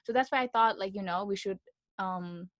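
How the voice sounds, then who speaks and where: A woman's voice: mid-range voice, normal speed, no echo, studio quality. A young woman hosting a casual podcast, chatty and giggly, full of "like" and "you know". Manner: giggly, filler-heavy, loose and chatty. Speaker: podcast host. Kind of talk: casual chat. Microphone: video call.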